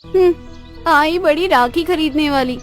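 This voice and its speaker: A woman's voice: sits high, a little slow, soft background music. A woman voicing a spoiled, boastful rich young woman in a cartoon, taunting in a showy, exaggerated way. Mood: boastful, scornful. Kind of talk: cartoon moral-story dialogue in Hindi.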